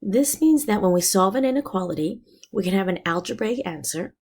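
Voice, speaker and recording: A woman teacher explaining a math lesson step by step, her voice raspy and hoarse from being sick. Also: mid-range voice, animated, fairly quick, a little mellow. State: hoarse from illness.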